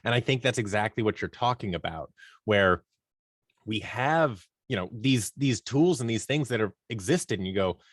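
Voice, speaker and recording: A man with a fairly low voice talks casually as an interview guest. The sound is dry, with very little room on it. He tells things like a storyteller, slipping into imagined bits of dialogue. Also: expressive, moderate pace, dry recording. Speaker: interview guest, audio drama producer leading a creative team. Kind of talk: casual conversational interview answers.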